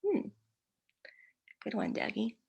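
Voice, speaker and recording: A woman's voice: slow, darkish tone. Woman who stutters, hosting a video call. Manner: stutters with repeated sounds, upbeat and laughing often. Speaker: moderator. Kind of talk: casual video-call chat.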